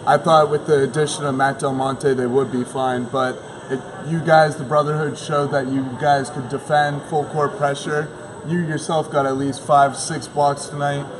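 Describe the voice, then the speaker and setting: A man's voice: a little high, level tone, moderate pace. A young man giving postgame basketball commentary as a panelist on a sports show. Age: young adult. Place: large indoor basketball gym. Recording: noisy gym ambience behind voice.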